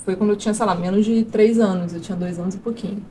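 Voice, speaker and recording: A woman's voice: medium pitch, steady tone, rapid-fire, warm tone, a little hiss or hum. A woman, a guest chatting casually in an interview, rambling with fillers and restarts. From Brazil. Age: 30s. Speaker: guest actress and producer.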